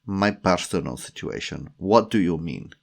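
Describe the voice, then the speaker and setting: A man's voice: deep voice, lively intonation, normal speed, creaky. A man voicing a haughty, fiery woman with a Russian accent in an audiobook story, indignant and demanding. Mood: offended.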